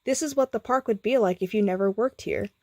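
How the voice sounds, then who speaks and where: A tender guardian angel from a storybook, voiced by a woman. She is a long-lost sweetheart, solemn and gentle, heard dry and slightly raised in pitch. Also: fairly quick, no echo, natural voice.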